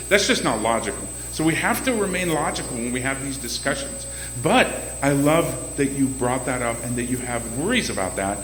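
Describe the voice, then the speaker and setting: A man running for city council, answering audience questions at a community meeting in a loud, emphatic way and often repeating words as he starts a sentence. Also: medium pitch. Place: banquet room at a community meeting. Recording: echoey hall with faint hum.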